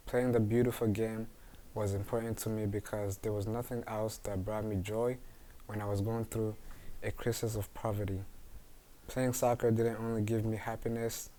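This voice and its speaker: A young man from Uganda reading his own memoir aloud in an earnest, measured way. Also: fairly low pitch, dark tone. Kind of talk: read memoir narration.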